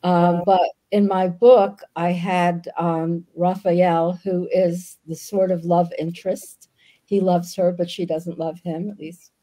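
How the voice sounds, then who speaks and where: An older woman whose first language was Yiddish, speaking as a guest author on a video call from a book-lined room, pausing often with ums. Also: fairly low pitch, little pitch movement, warm tone. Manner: thoughtful, frequent ums. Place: home room with bookshelves.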